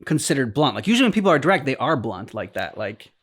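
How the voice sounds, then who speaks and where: A man co-hosting a reaction channel, casually working through his points in a halting way and acting out imagined dialogue. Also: mid-range voice, big pitch swings.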